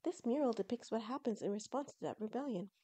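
Woman playing an earnest scholarly mother fox, high-voiced. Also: lively intonation, quick pace, mellow, husky. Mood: thoughtful.

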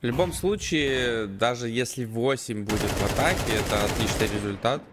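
A man giving live commentary and analysis on a video game match in measured, short phrases. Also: medium pitch. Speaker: esports commentator. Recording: clear game gunfire and noise behind.

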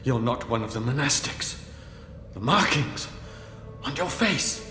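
An actor playing a wounded older monk healer in a fantasy game, strained with pain, halting and pleading. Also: male voice, mid-range voice, animated, natural voice. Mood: weary. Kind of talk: fantasy game cutscene acting. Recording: echoey hall with music underneath.

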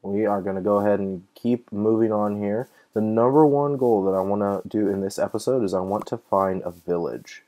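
A young man hosting casual let's-play commentary, chatty and easily excited, thinking aloud with fillers, his voice a little off from being slightly sick. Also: lowish voice, moderate pace, hardly any pauses, highs cut off. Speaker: gaming channel host.

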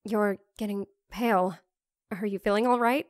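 An actress plays a sardonic young woman looking after her friend in a fan-made drama. She talks casually, with a caring, protective streak. Her pitch is medium and the recording is dry. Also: steady tone, normal speed, no room sound, close to an everyday voice. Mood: concerned. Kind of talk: fan audio drama acting.

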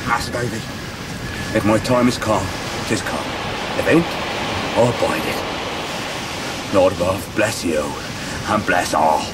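A humble, brave fisherman in a radio drama. His low voice is slow and solemn, set back from the mic under roaring surf. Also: very noisy. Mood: solemn, resolute. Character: brave, humble young fisherman resigned to death. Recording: distant voice in loud surf.

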